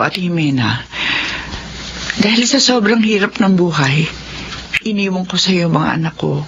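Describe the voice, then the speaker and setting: A woman's voice: medium pitch, a bit bright, slight background noise. An actress with a Filipino accent playing a remorseful mother of sixty in an emotional audio drama. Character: remorseful sixty-year-old mother seeking forgiveness, earnest, pleading. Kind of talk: dramatized Filipino radio-style drama with first-person narration. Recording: dull lo-fi audio with music behind.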